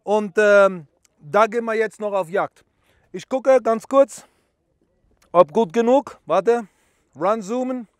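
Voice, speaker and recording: A man's voice: sits high, slow, pauses often, no echo, clean studio sound. Calvin the Bird, a man putting on a bragging bird photographer. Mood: boastful. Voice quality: broken German mixed with English words. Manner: boastful, stumbling over words. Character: clumsy self-proclaimed famous bird photographer man, bragging. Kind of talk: parody how-to video.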